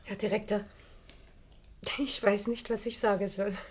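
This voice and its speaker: An actress playing a contrite, overwrought wife in a Swiss German radio comedy from the 1970s, stammering and melodramatic. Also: female voice, mid-range voice, steady tone, a little slow, husky. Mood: contrite, overwhelmed.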